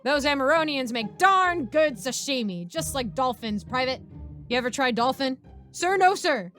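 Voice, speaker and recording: A woman voicing a loud, bloodthirsty male sergeant in a space army who barks orders. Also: high-pitched, expressive, moderate pace, soft background music. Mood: barking. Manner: barking drill-sergeant shouts.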